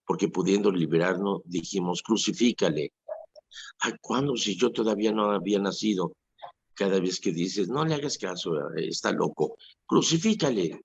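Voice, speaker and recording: Mexican priest, 60s, deep and husky, earnest radio preacher; dull lo-fi broadcast sound. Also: male voice, a little mellow. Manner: earnest preaching with vivid quoted asides.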